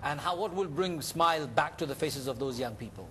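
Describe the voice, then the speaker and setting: Man, higher-pitched voice, live fundraiser host, encouraging, Arabic devotional phrases, light background noise. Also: animated, brisk, a bit bright, long reverb tail. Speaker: television charity appeal host taking calls.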